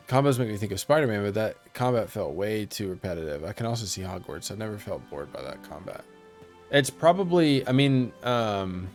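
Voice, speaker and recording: A man streaming a game, talking casually in a gravelly voice and rambling with many fillers. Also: lowish voice. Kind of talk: casual gameplay commentary.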